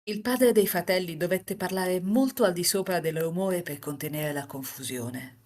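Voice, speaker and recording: A woman reading as a composed, enigmatic housemaid who narrates a visual novel tale in formal, measured sentences. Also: lowish voice, a little mellow, lightly acted.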